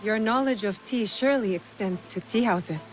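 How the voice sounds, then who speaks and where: An actress as a female mercenary of feudal Japan in a game. She is composed and earnest, speaking plainly at a medium pitch with faint music underneath. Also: normal speed, close to an everyday voice, low-quality recording. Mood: reserved.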